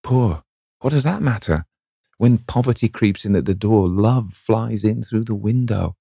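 A man's voice: lowish voice, a little slow, creaky, mellow, slightly rough, no room sound, clean studio sound, voice pushed up, heavily acted. A man voicing a young actress, dreamy and lovestruck, speaking in a breathless, rapturous way.

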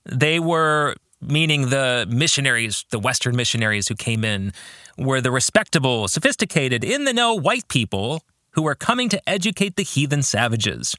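A middle-aged man hosts a reflective podcast monologue on his own, speaking earnestly and deliberately and stretching phrases for emphasis. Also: medium pitch, big pitch swings, bright, forceful tone, no echo, clean studio sound. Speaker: podcast host and author. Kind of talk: reflective monologue about faith and fiction.

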